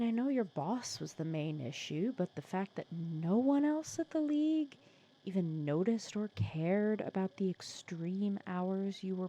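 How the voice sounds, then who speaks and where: A woman playing a wry, protective supervillain nemesis who talks softly and teasingly in a comforting ASMR roleplay audio drama. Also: medium pitch. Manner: soft-spoken, teasing, conversational with hesitations.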